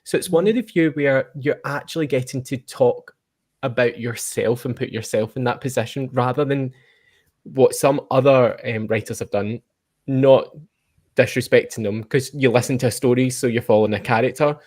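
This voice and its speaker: A man's voice: medium pitch, moderate pace, darkish tone. A man talking conversationally as an interviewer, explaining his thoughts in an appreciative way. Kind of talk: conversational commentary.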